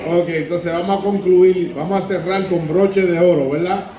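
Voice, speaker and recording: A man's voice: sits high, brisk. A man hosting an event, joking with the crowd and wrapping things up in Spanish. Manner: jokey, lively crowd banter. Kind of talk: emceeing in Spanish. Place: large echoey event hall. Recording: echoey hall, distant mic, crowd.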